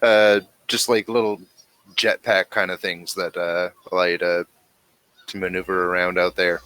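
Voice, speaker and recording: A man narrating a tabletop role-playing game as game master, halting as he searches for words. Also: deep voice, flat delivery, a bit bright.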